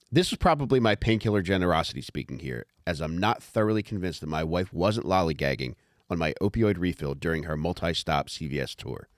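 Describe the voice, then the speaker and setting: Low-voiced man narrating a wry audiobook. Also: no room sound, studio quality. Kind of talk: scripted audiobook narration of comic memoir.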